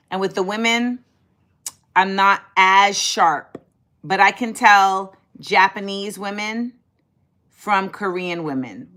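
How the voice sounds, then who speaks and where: A woman in her forties hosting a casual livestream, chatty and sassy, talking straight to viewers. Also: medium pitch. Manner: chatty, sassy, animated, reads and answers viewer comments. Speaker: livestream host.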